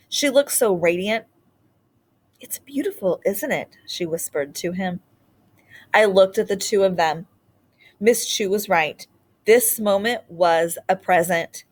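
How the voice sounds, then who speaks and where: A woman reading a children's story aloud as a storybook narrator. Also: lowish voice. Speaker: storybook reader.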